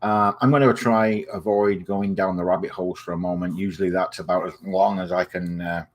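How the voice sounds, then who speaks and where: Low-voiced English host with a partly American accent, chatty and digressive, plenty of filler words. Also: male voice. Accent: English, softened by years in the US. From England. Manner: rambling and digressive, many ums and uhs; friendly sign-off. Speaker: video series host. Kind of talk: informal spoken health explainer.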